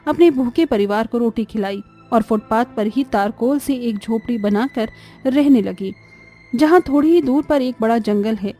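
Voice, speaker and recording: A woman reading scripted narration for an animated story as the storyteller. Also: on the high side.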